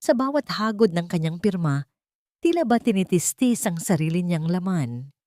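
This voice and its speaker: A woman reading a scripted melodramatic story in a solemn, measured way. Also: lowish voice, sing-song, mellow, no room sound. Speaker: story narrator. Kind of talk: dramatic scripted narration of a melodrama.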